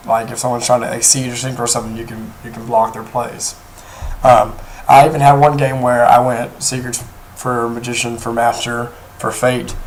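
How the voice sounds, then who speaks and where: Hoarse man with a flat voice. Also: mid-range voice, a little hiss or hum, low-quality recording. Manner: rambling, many ums and restarts. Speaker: card game deck reviewer. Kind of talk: casual deck profile talk. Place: indoors at a table, off camera.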